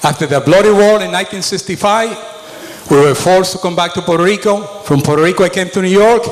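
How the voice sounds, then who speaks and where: Middle-aged dominican-born guy, chatty storytelling, slightly high voice, reverb-y hall. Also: quick pace. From Dominican Republic. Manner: plainspoken, conversational storytelling. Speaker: mayoral candidate. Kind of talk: debate opening statement. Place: school auditorium.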